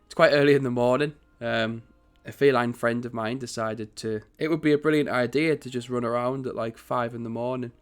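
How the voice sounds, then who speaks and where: A man with a croaky early-morning voice talks casually over a strategy game. He rambles and corrects himself as he goes, in a middling pitch at a desk mic in his home setup. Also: fairly even intonation, a little fast, few pauses. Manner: chatty, rambling, self-correcting. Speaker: gaming channel host.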